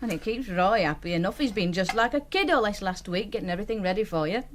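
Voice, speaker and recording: A woman with a Northern English accent acting a sympathetic, gently sceptical working-class wife in a radio drama. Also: medium pitch, animated, quick pace, noisy background, lightly acted. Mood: gently wry.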